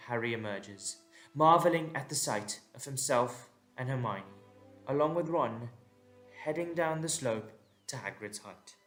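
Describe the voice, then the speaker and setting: A man's voice: mid-range voice, fairly bright tone. A man narrating a scripted audio drama in a dramatic storytelling style. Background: instrumental music.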